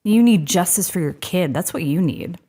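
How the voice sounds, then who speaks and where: An actress playing a blunt, intimidating female colleague in a sci-fi audio drama, with a gravelly, husky voice. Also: on the low side, lively intonation, normal speed, dark tone.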